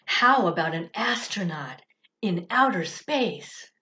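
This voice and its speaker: A woman's voice: on the low side, expressive, slow pace, sharp tone, full character voice. A woman voicing a curious, anxious young child in a storybook, questioning and wide-eyed, on a dull recording. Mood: eager wondering. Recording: dull, lo-fi sound.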